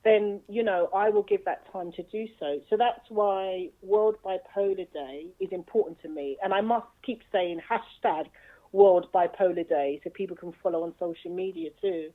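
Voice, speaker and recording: Earnest woman on a tinny phone line. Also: fairly low pitch. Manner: earnest, measured, speaks from experience. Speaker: guest, bipolar advocate. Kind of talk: interview answer.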